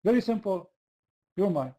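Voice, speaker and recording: A man's voice: high voice, animated, moderate pace, warm tone. A man hosting a heated online debate, pressing the same question again and again. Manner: insistent, repeats the same question. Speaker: debate host.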